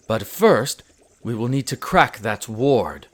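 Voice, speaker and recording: A man's voice: medium pitch, animated. A man playing a proud, prickly winter elf archaeologist who talks formally and haughtily in a scripted audio roleplay addressed to the listener. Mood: intrigued, pondering. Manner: haughty, formal, exasperated, theatrical pauses.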